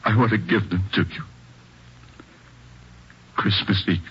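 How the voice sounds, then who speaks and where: A deep, very rough and husky man's voice, playing a bereaved father in a vintage radio play. He speaks haltingly, heavy with emotion yet kindly. The recording is dull and lo-fi. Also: steady tone. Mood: subdued, kind. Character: grieving father of a dead boy, subdued and kindly. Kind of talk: 1940s radio drama acting.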